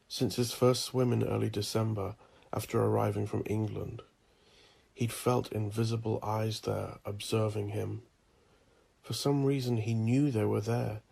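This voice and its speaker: A man narrating a dark fantasy audio drama, with a slow, ominous delivery and a gravelly voice. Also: on the low side.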